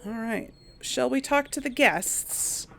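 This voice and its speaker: A woman's voice: fairly high pitch, slow, creaky, fairly bright tone, slight background noise. A woman hosting a role-play gaming video, musing aloud in casual, chatty commentary and reading out dialogue choices. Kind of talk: casual game commentary mixed with in-character dialogue.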